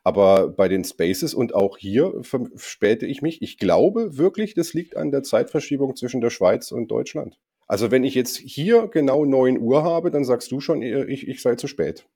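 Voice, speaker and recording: A man co-hosting a casual chat, his voice a little hoarse from a cold, teasing dryly and pausing with many ums. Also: medium pitch, lively intonation, warm tone. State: slightly hoarse, recovering from a cold. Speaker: podcast co-host.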